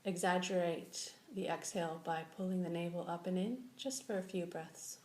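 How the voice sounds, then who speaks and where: A woman leading a guided meditation as a teacher, giving slow, gentle instructions with long pauses. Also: lowish voice, fairly even intonation. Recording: echoey room with faint background noise.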